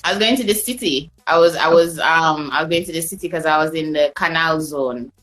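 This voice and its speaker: A woman's voice: low voice, brisk, a bit bright, faint music behind. A woman telling travel stories as a podcast guest, chatty and loose, with fillers and restarts. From Jamaica. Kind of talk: casual storytelling chat.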